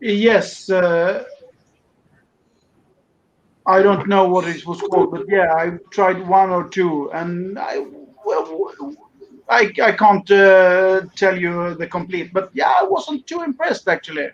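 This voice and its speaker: Halting Swedish man, high voice. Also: slight background noise. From Sweden. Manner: halting, with many uh fillers. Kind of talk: casual chat on a video call. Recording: dull lo-fi call audio.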